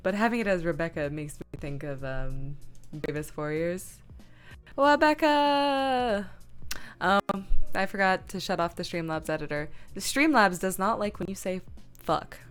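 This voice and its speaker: A woman's voice: on the low side, expressive, unhurried, clean tone, soft background music. A woman streamer chatting casually as she works, rambling and thinking aloud. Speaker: live streamer; comic dub director. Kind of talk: casual livestream chat while working.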